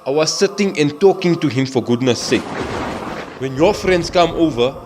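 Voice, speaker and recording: Man acting hot-tempered South African husband, swinging pitch. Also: expressive, normal speed, noisy background, close to an everyday voice. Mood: irritated, scolding. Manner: agitated, sarcastic, colloquial. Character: hot-tempered, bitter, indebted young husband. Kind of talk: audio drama acting.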